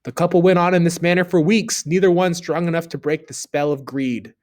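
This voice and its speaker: A man reading a children's story aloud, expressive, dipping low and quiet on the narration tags. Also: fairly quick.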